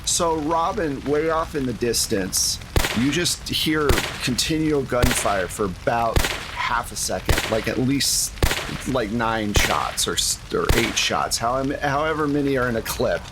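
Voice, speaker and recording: A man's voice: mid-range voice, expressive, measured pace, background noise. A man running a tabletop game, improvising narration and chatting casually and jokily with players. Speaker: game master.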